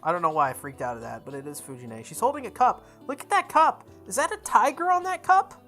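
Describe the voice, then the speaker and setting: A woman playing through a visual novel, reading its text aloud with expressive, goofy casual commentary, sometimes correcting her stumbles. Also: mid-range voice, a little fast, faint music behind. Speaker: host of a visual novel playthrough.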